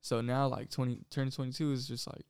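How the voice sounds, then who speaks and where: A man in his twenties co-hosting a podcast, talking in a relaxed, low-key slangy way. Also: fast, smooth, no room sound, clean studio sound. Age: 20s. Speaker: podcast co-host. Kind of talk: casual chat.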